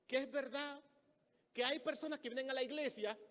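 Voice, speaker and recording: High bright-voiced Dominican preacher in a hall. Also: male voice, a little fast. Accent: Dominican Spanish. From Dominican Republic. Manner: lively storytelling, acting out the people he quotes. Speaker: pastor. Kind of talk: sermon. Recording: long reverb of a church hall.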